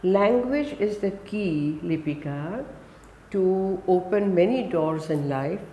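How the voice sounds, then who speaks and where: Older Indian woman, low and emphatic. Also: warm tone, a little hiss or hum. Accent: Indian English. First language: Gujarati. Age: 70s. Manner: emphatic, lists things in quick bursts. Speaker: guest. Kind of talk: conversational interview. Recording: roomy echo.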